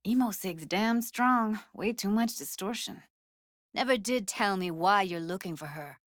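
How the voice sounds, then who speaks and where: A woman's voice: medium pitch, animated, a little slow, close to an everyday voice. An actress voicing a streetwise young techie woman in a cyberpunk video game, talking in a casual, slangy, wry way. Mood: wry, candid. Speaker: video game character actor. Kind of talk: naturalistic game voice acting.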